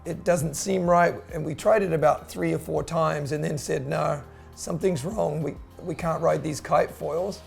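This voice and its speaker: Gravelly New Zealand man, relaxed. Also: fairly high pitch, soft background music. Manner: relaxed, with frequent "uh" pauses. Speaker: company co-founder. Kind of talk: casual promotional chat.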